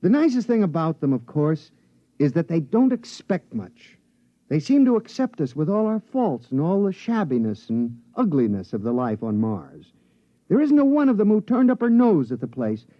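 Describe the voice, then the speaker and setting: Genial, animated miners' leader. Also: male voice, fairly high pitch, moderate pace, no room sound. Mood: delighted, earnest. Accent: American. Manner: expansive, formal address. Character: genial, earnest veteran leader of the Mars miners. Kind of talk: 1950s American radio drama acting. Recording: muffled 1950s radio recording.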